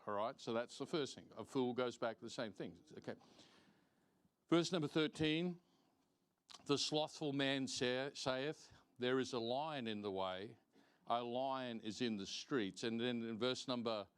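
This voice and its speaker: A man teaching a Bible lesson as a preacher, deliberate and emphatic, repeating phrases for stress and reading out verses. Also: mid-range voice, clear, resonant tone. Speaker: preacher teaching Sunday school. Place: church, live-streamed service.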